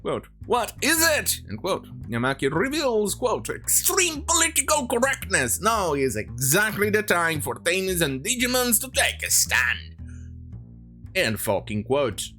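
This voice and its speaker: An online commentator delivering an opinionated, sarcastic rant in a halting, sweary way, sometimes reading aloud. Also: medium pitch, wildly swooping pitch, pushed and bright, faint music behind.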